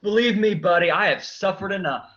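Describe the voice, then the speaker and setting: A man's voice: sits high, fairly quick, a bit bright. A man playing a hapless, long-suffering fellow with wry exasperation in a comic stage reading, on dull audio. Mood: exasperated. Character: Lazarus, hapless, long-suffering man who keeps dying.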